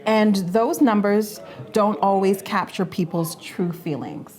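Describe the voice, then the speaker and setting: A woman's voice: mid-range voice, slow, darkish tone, soft background music. A Canadian woman in her forties, co-hosting a casual panel chat, earnest and stumbling over repeated words as she makes her point. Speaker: co-host.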